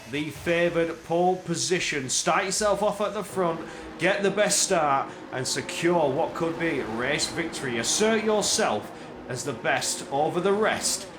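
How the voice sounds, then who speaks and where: A man doing solo live-stream race commentary, energetic play-by-play that climbs in pitch at tense moments, with chatty asides in quiet spells. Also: echoey room, a little hiss or hum.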